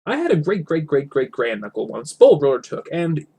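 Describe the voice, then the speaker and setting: A man voicing a small, prim gentleman hobbit from a storybook in a huffy, dignified manner. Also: on the high side, sing-song, moderate pace, husky, voice pushed up, exaggerated voice. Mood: indignant, dignified.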